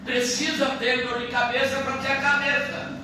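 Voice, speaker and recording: High-voiced man preaching in an echoey church. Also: little pitch movement, normal speed, distant mic. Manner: jokey start, then rising emphatic preaching full of hallelujahs. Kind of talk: anniversary church sermon. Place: church hall. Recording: echoey church from afar with noise.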